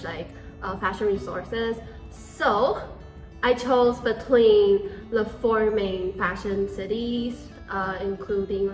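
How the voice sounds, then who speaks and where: A woman's voice: medium pitch, echoey room, poor audio. Young Taiwanese-born woman, chatty. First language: Mandarin Chinese. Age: 20s. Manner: casual, many "like" fillers, switches between Mandarin and English. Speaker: host. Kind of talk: casual Q&A chat.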